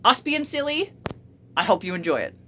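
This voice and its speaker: A woman's voice: fairly high pitch, big pitch swings, normal speed, bright, forceful tone. A woman vlogger chatting casually in a joking, sarcastic way, swearing often, with a slightly crackly voice. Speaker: YouTube vlogger. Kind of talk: casual blooper vlog chat.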